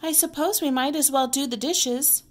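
A woman's voice: sits high, moderate pace, pitched above the performer's own voice, lightly acted. A woman reading the part of a reassuring, matter-of-fact older sister in a children's storybook. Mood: resigned.

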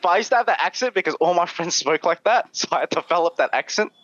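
Young Aussie man, guest on a call, slightly high voice, dry dull headset sound. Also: expressive, quick pace, a bit bright, dry recording. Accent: Australian. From Australia. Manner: chatty, laughs often, many "like"s. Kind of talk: casual video-call interview. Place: small bedroom indoors. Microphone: headset mic on video call.

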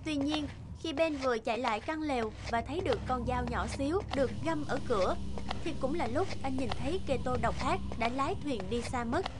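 A woman's voice: sits high, animated, brisk, background noise. A woman reading scripted fairy-tale narration as the narrator of a cartoon. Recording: music bed behind the voice. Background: background music.

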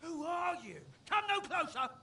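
An actor playing a weary, jumpy ox cart driver in a fantasy video game, grumbling in archaic turns of phrase. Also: male voice, extremely high pitch, animated, normal speed, bright, forceful tone, a little hiss or hum, full character voice. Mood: alarmed.

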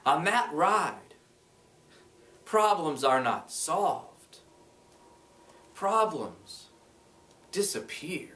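A middle-aged man reading aloud from an inspirational book, deliberate and dramatic, with emphatic pauses. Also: on the high side, big pitch swings, sharp tone. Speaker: reader, blog creator. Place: small bright room indoors. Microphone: camera a few metres away. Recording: roomy echo, distant microphone.

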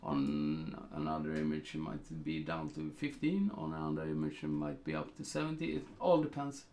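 A man's voice: very deep voice, sing-song, normal speed, gravelly, darkish tone. A middle-aged man from Sweden with a Swedish accent, talking through a photo editing tutorial at his computer and thinking aloud with many ums and uhs. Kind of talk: casual screen-recorded tutorial.

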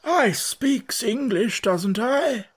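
A man voicing a very old frog servant who mutters in a hoarse, whispery, slow drawl for a storybook. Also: very high-pitched, animated, voice pushed up, full character voice. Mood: slow, puzzled.

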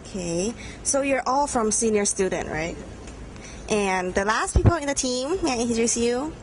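Young woman interviewer, bit high voice, handheld mic, faint noise behind. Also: animated, moderate pace, darkish tone, rough recording. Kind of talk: event interview. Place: large bright room at a game jam.

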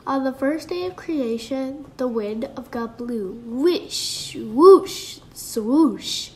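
A woman's voice: high voice, animated, very slow, poor audio. A woman reading a children's creation story aloud, playfully voicing the sound effects.

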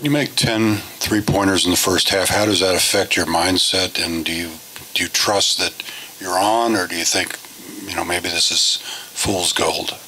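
Male reporter, very low but bright voice, long winding questions. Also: fairly even intonation, normal speed, slight background noise, low-quality recording. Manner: long, rambling questions with restarts. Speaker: sports reporter. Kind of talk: press conference question.

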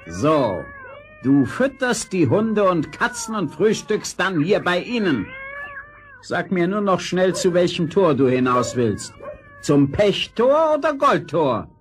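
A man's voice: high-pitched, very animated, slow, slight background noise, full character voice. A man playing a testy, commanding old hermit in a children's audio play, taunting and bossy. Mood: curt, commanding. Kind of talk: vintage children's radio play acting.

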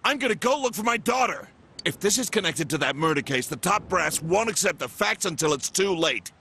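Game role: brusque middle-aged cop and desperate dad, sharp, forceful, swinging widely in pitch. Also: male voice, lively intonation, a little fast, slight background noise, full character voice. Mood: furious, desperate. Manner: brusque, commanding, urgent. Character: gruff, hot-tempered middle-aged detective and desperate father. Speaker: police detective character. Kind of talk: dramatic game voice acting.

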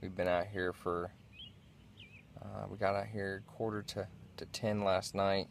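A man with a very low, gravelly voice talks through camping gear in a low-key, halting vlog style. He speaks very slowly, recorded on a handheld camera with some noise in the audio. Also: flat delivery. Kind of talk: casual vlog gear review. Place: inside a small backyard tent.

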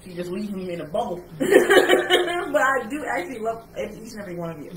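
A woman's voice: mid-range voice, sing-song, quick pace. Co-host: New York woman, thirties, blunt and joking, a little away from the mic in an echoey, noisy kitchen. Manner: laid-back, blunt, joking. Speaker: page admin and co-host. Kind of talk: casual chat on a livestream. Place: indoor kitchen. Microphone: camera or laptop mic. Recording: echoey kitchen from a distance, with noise.

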